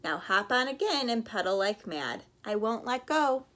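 A woman's voice: on the high side, sing-song, normal speed, fairly bright tone. A woman voicing a patient, encouraging father frog in a children's storybook. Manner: coaxing, instructive.

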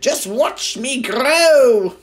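A man voicing a scrawny, ancient grandma from a children's storybook, gleeful and demanding, screeching her drawn-out exclamations. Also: very high voice, animated, voice pushed up, heavily acted.

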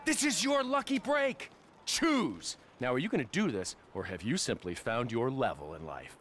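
An actor playing a mocking, volatile middle-aged criminal in a video game, with a North American accent and a sneering, goading manner. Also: male voice, wildly swooping pitch, a little fast. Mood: goading, intense. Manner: sneering, goading, swings from low drawl to bark. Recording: game audio with vehicle noise behind.

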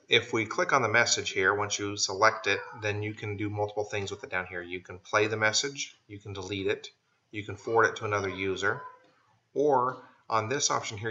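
An American man giving an informal, plain-spoken software tutorial as a voice-over. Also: lowish voice, a little hiss or hum. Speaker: software trainer. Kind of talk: casual screen-share tutorial narration. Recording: dull lo-fi voice-over.

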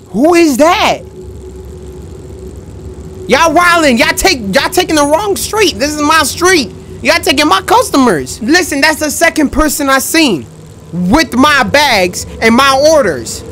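A young man commentating a horror game in a loud, excitable, trash-talking way, his voice going hoarse, recorded on a headset mic at a home setup. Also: very high voice, a little slow, slight background noise. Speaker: gaming streamer.

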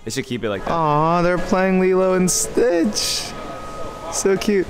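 A man's voice: high-pitched, sing-song, unhurried, poor audio. A young man in his twenties casually vlogging with an upbeat, chatty manner, holding the camera at arm's length in an indoor ship restaurant. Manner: upbeat, chatty, reads signs aloud. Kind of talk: casual travel vlog narration. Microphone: handheld camera at arm's length. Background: background music playing.

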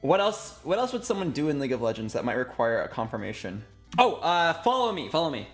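A man's voice: mid-range voice, sing-song, quick pace. Casual young male streamer over background music. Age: 20s. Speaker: streamer directing a voice recording session. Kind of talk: casual chat, giving directions. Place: indoor streaming room.

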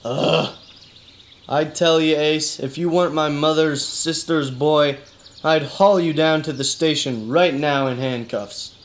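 Man playing an exasperated higher-voiced hardboiled detective. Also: steady tone, unhurried, natural voice. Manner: weary, skeptical, colloquial. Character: exasperated, hardboiled male detective questioning his cousin. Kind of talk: noir-parody radio drama acting.

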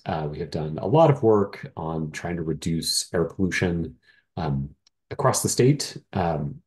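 This man sounds stuffy and congested from a cold, his voice very deep and dark, with pitch that rises and falls a lot. He presents over a video call, halting on frequent ums and apologizing as he goes. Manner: apologetic, hesitant with many ums. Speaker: nonprofit presenter. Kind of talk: meeting presentation.

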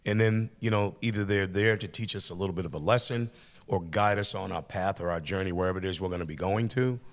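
An older man hosts a school podcast from a small studio, his voice sitting very low. He talks to his student guest in long, reflective, encouraging sentences. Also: fast talker. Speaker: teacher and podcast host. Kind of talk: interviewing a student. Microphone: boom-arm studio mic.

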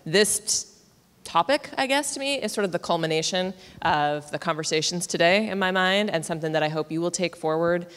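A woman co-hosting a conference, introducing the closing speaker earnestly and a little haltingly into a handheld mic. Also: on the low side, fairly bright tone, smooth. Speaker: conference co-host, real estate agent. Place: large conference hall on stage. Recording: long hall reverb.

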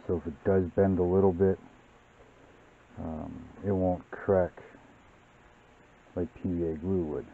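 Very deep-voiced man, tinny sound. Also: slow, pauses often, slight background noise. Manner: relaxed, rambling, many fillers. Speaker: model-building channel host. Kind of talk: casual hobby tutorial narration.

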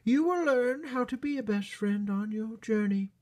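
A reader voicing a gentle, regal mother rabbit queen in a children's storybook, with a sing-song delivery. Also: medium pitch, animated, moderate pace, voice pushed up, natural voice. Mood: gently encouraging.

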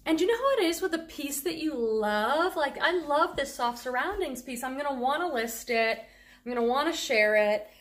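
High-voiced chatty woman with a faint Boston accent. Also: expressive, moderate pace, a bit bright. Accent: Boston, on a handful of words. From Boston area, USA. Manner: chatty, enthusiastic about clothing finds. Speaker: thrift reseller vlogger. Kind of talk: casual haul vlog.

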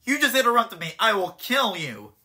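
A man voicing a boastful male guardian spirit in a comedic live fan dub, mocking and bratty, with squealing high jumps. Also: very animated, normal speed, sharp tone, exaggerated voice. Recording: game music underneath.

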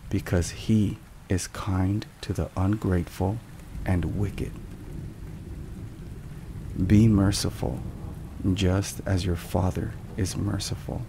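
A man with a low voice narrates in a solemn, scripted ASMR style. He speaks very slowly and with measured care. Rain and thunder are clearly heard behind him. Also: mellow, a little hiss or hum. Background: thunderstorm and rain sounds.

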